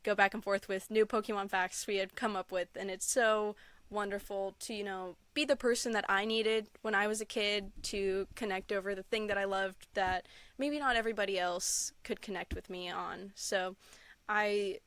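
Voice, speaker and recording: A woman's voice: mid-range voice, fairly even intonation, moderate pace, a bit bright, clean tone, no echo. A woman telling anecdotes as a podcast guest in a casual, enthusiastic and earnest way. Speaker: podcast guest, Pokémon content creator; store employee. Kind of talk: casual interview chat, anecdotes.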